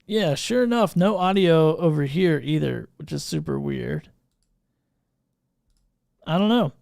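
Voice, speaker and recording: A man with a slightly high voice and a clearly creaky edge hosts a casual livestream. He talks off the cuff and thinks aloud in an informal way. The sound is crisp and clean. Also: lively intonation, unhurried, a little mellow, no room sound, clean studio sound. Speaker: livestream podcast host. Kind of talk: casual unscripted livestream chat. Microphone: podcast microphone through audio mixer.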